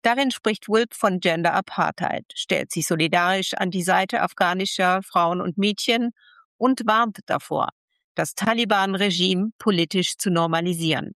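A woman reporter co-hosting a news magazine, reading news and asking interview questions in a steady, informative way. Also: on the low side, normal speed, dry recording, studio quality. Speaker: radio reporter and co-host.

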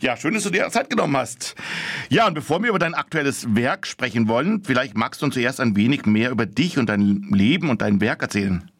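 A man, a radio show host, reading a scripted introduction and then asking questions calmly. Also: medium pitch, a little fast. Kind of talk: radio interview.

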